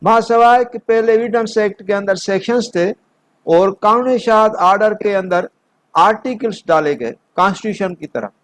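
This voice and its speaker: A man's voice: sits high, animated, normal speed. A man with a Pakistani accent giving an instructional law lecture in a methodical, explanatory way. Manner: methodical, explanatory, switching between two languages. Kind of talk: instructional lecture mixing explanation with read-out notes.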